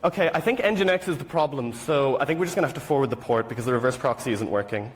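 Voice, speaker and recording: A young Irish man presents a technical talk in a relaxed, offhand way, with plenty of ums and asides. His voice sits at a middle pitch for a man, and his self-deprecating humour keeps the delivery informal. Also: fast, slight background noise. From rural Ireland. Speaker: student conference speaker.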